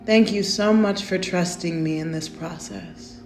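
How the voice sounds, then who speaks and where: A woman leading a guided meditation in a gentle, soothing, unhurried way, softly inviting the listener to breathe. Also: fairly low pitch. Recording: roomy echo with faint music.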